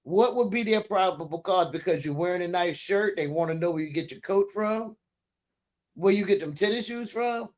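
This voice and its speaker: High-voiced man giving casual opinions. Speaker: talk show panelist.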